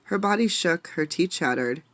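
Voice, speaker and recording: A woman reads a children's storybook aloud in a fairly low voice. Her delivery is dramatic and emphatic, like a teacher engaging listeners. The sound is dry, with very little room echo. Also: animated, normal speed, a bit bright. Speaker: teacher reading a picture book aloud. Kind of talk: expressive storybook read-aloud with questions to listeners.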